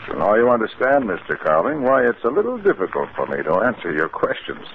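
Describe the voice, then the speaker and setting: An actor playing a businesslike research doctor in a 1950s radio drama, explaining things matter-of-factly. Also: male voice, lowish voice, very animated, brisk. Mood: explanatory. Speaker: psychiatric doctor. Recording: narrow-band old radio recording, faint noise.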